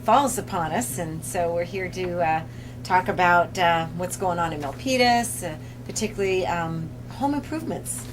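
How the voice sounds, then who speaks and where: A woman hosts a local TV talk show and introduces her guest, pausing often on fillers as she talks. Her voice is a little lower than usual. A clear noisy hum of room ambience runs beneath. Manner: halting, lots of "uh" and "um". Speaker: host. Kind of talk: casual TV talk show hosting.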